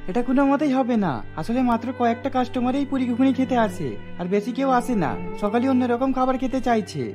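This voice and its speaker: A woman's voice: fairly high pitch, brisk, music in the background, lightly acted. A woman voicing plain-spoken village men in a cartoon, matter-of-fact and persuasive. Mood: concerned. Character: male villagers: father, friend, boss, plain-spoken.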